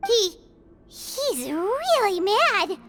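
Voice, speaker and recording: Super high squeaky little fairy sidekick from a game, cute, swoops all over, echoey. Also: female voice, big pitch swings, extremely slow, faint music behind, exaggerated voice. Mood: awed. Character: tiny childlike floating fairy companion, cute and earnest. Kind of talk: cartoonish video game voice acting.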